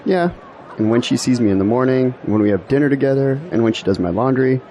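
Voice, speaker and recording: A man's voice: mid-range voice, expressive, fast, warm tone, some chatter behind, close to an everyday voice, low-quality recording. An actor playing a self-deprecating young male graduate in an audio drama, with a dry delivery. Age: young adult. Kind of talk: scripted audio drama dialogue. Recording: faint outdoor background noise.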